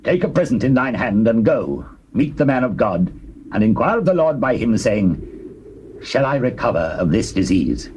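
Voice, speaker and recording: A man's voice: medium pitch, sing-song, normal speed, a little mellow, slight background noise, lightly acted, rough recording. An actor playing a king who speaks in a formal, commanding way.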